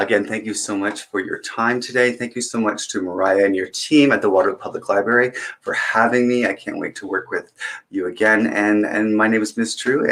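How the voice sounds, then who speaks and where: A man's voice: lowish voice, a bit bright. A man reading a picture book aloud as a children's story time host, adding chatty, encouraging asides to young listeners.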